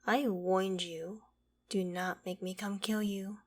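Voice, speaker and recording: Woman acting as ancient female dragon, mid-pitched, unhurried delivery, imperious, theatrical, ASMR fantasy roleplay. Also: little pitch movement. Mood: cold, threatening. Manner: theatrical, deliberate, imperious phrasing. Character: ancient female dragon, haughty, menacing, curious about humans. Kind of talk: ASMR roleplay, dramatic monologue to the listener.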